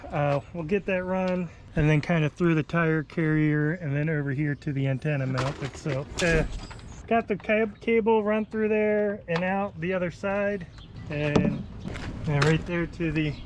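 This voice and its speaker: Male how-to vlogger, slightly high pitch, informal think-aloud delivery, recorded amid clear vehicle and outdoor noise. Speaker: host demonstrating a vehicle radio installation. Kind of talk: casual hands-on how-to vlog. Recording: noisy car and outdoor ambience.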